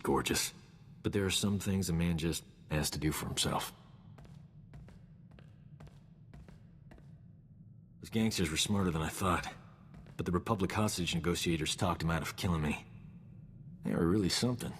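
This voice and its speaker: An actor playing a cocky, flirtatious roguish combat medic in a video game, with a smug, suave, breezy delivery. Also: male voice, sits low, a little fast, a bit bright, big-room sound, a little hiss or hum. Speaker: combat medic companion character. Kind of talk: video game dialogue acting.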